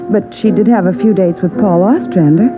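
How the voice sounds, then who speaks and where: A woman's voice: medium pitch, brisk, phone-quality sound, lightly acted. An actress playing a hesitant, earnest young saleswoman, guarded but conversational, in a vintage radio drama. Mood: reluctant, worried. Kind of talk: 1950s radio drama acting. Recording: band-limited old radio recording, piano behind.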